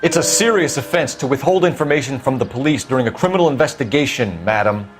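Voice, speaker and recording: An actor playing an earnest, polite but firm American police detective in a video game drama, questioning in a formal, measured and insistent way. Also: male voice, mid-range voice, lively intonation, over music, natural voice. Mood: formal, probing.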